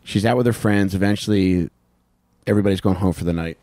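A man's voice: deep voice, fairly even intonation, fast talker, darkish tone, no room sound. A man in his thirties casually telling a true-crime story as a podcast host, speaking into a close handheld microphone. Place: small wood-panelled podcast room with couches, indoors. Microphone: handheld microphone held close.

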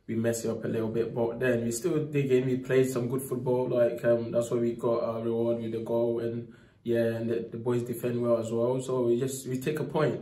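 A young man, a footballer, speaks softly after a match, filling his answers with fillers and "obviously", into a phone held close in a press room. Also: mid-range voice. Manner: soft-spoken, many fillers, leaning on "obviously". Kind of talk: post-match interview. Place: indoor press room in front of a sponsor board. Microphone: phone held near by the reporter. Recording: echoey room.

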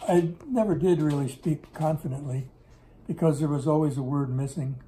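An older man speaks with a mellow, slightly high voice at a kitchen table. He rambles warmly through memories, stopping to fix words midway. The camera sits on the table close in front of him. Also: moderate pace, a little hiss or hum, rough recording. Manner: rambling, self-correcting storyteller. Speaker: interviewee recalling business in Russia. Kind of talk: casual storytelling interview. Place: home kitchen table, indoors.

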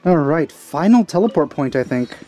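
A man's voice: fairly high pitch, expressive, normal speed, mellow. A man giving casual commentary as he plays a video game. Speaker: let's player. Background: game music.

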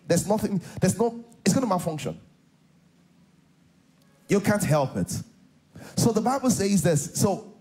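A man's voice: high voice, warm tone, low-quality recording. A middle-aged Nigerian man preaching a sermon on a large church stage, repeating key lines and calling out for responses from the congregation. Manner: repetitive, call-and-response preaching. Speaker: pastor.

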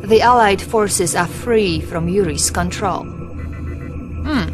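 An actress playing a dutiful young Soviet intelligence officer, giving formal, measured briefings in a video game. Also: female voice, mid-range voice, over music, natural voice. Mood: formal, serious.

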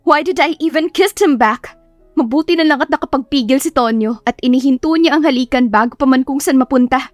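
A woman acting out a young female teacher in a romance audiobook, flustered and mortified. Her voice is high and exclamatory, scolding herself in exasperation. It is a close, dry sound over music. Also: a little fast, no room sound, natural voice. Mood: incredulous, flustered.

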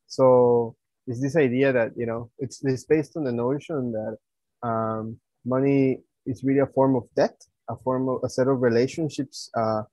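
Mellow man on a dull video call. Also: mid-range voice, no echo. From Guatemala. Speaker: interview guest, project coordinator. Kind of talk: explanatory conversation. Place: small room indoors, video call.

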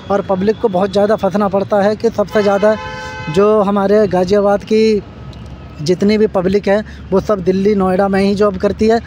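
A high-pitched man narrates a walking vlog by a busy road. His delivery stays level and monotone as he keeps pointing things out to his viewers. It sounds like a handheld phone recording outdoors. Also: slight background noise. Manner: repetitive, addresses viewers as friends, points things out. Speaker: vlogger. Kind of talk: casual walking construction-site vlog. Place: outdoors beside a busy road and flyover construction.

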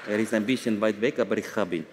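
A man voicing a secretive, wary agent with a hushed, conspiratorial manner. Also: on the low side, quick pace, voice pushed down, close to an everyday voice. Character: secretive, wary Russian agent hiding in crowd. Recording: big hall reverb, stage show noise.

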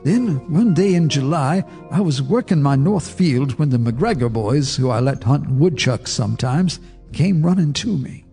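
An actor narrating a radio drama as an older farmer telling his memories in a measured, folksy way. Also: a little high, lively intonation, vocal fry, dark tone. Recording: reverberant radio drama with music.

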